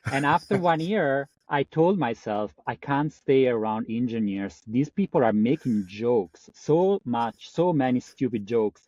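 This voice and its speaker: A middle-aged man with a strong Italian accent, a guest on a podcast, talking lively and laughing often. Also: mid-range voice, unhurried, hardly any pauses, no room sound, lo-fi sound. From Italy. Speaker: guest, climate engineer. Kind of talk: podcast interview.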